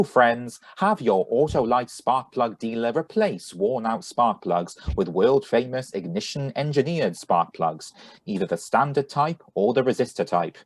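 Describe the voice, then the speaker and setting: A man's voice: mid-range voice, a little mellow, no echo. A man reading as an old-time radio announcer, with emphatic sales patter in a 1940s style.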